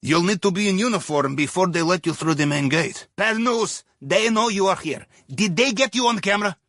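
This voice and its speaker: An actor playing a wry, chatty Russian heist planner in a video game, speaking accented broken English in a droll, folksy way. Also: male voice, high voice, normal speed, a bit bright, no echo, studio quality. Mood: urgent, brisk. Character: wry, jovial Russian submarine captain's heist planner, male. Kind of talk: video game mission dialogue over radio.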